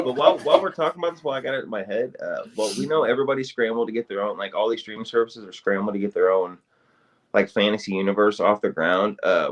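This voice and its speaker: A man co-hosting a casual podcast, talking in an animated way and swearing freely. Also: fairly low pitch. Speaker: podcast co-host. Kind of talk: casual chat.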